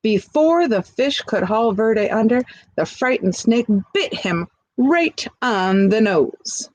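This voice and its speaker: A woman reading a picture book aloud expressively, pausing to chat to young listeners with questions and asides. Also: fairly high pitch, animated, no room sound, low-quality recording. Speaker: storybook reader.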